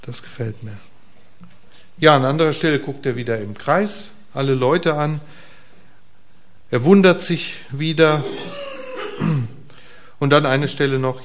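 A man's voice: medium pitch, a little hiss or hum. Casual, emphatic male preacher. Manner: casual, conversational preaching with rhetorical questions to the congregation; shifts between emphatic bursts and quiet, soft asides. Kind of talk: sermon. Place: church hall before a congregation.

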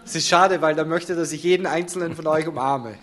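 Man interpreting live, fairly high voice, fuller sentences. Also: fairly even intonation, fairly quick, fairly bright tone. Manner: renders each phrase promptly, in fuller sentences.

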